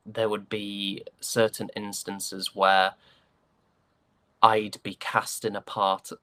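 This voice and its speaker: Young british guy, lowish voice, dry sound, thinking out loud in an interview, kinda hesitant. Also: no room sound. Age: young adult. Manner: thoughtful, hesitant, searching for words. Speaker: stage actor being interviewed. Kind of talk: conversational interview answers.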